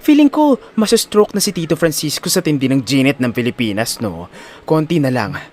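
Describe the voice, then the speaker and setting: A man's voice: medium pitch, very animated, brisk, a little mellow. A man voicing a greedy, flamboyant young daughter in an audio drama, with sassy slang and theatrical exclamations. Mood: gleeful scheming. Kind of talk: audio drama character acting.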